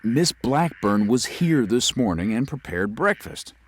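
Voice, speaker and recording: An actor playing a young lawyer who narrates a ghost story in a measured way. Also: male voice, mid-range voice, very animated, breathy edge. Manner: measured, tells the story with rising unease. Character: young male lawyer recuperating in the country. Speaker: narrator and lead.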